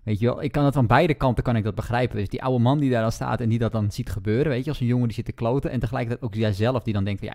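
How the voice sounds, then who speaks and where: A man's voice: mid-range voice, warm tone. A man chatting casually as a podcast co-host, retelling a story and acting out bits of dialogue.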